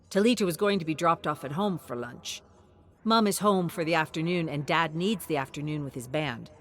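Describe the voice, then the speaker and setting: A woman reading scripted storybook narration in a measured, expressive storytelling manner. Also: low-pitched, a little hiss or hum. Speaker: narrator of character lore.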